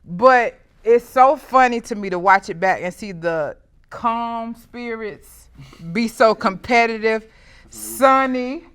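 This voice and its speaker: Encouraging woman hosting a reunion. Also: on the high side. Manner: warm, encouraging, laughs often. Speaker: reunion host. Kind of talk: casual interview questions.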